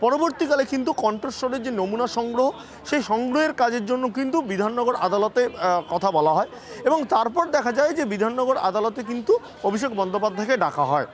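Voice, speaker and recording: A man with a West Bengal Bengali accent giving an emphatic, unscripted live TV news report, often restarting and repeating phrases. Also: very high-pitched.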